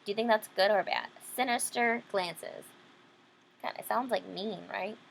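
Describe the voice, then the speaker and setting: A woman's voice: mid-range voice, steady tone, a little fast, vocal fry, slight background noise, poor audio. A woman reading a children's storybook aloud, adding simple explanations for young listeners. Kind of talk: children's picture-book reading with simple asides.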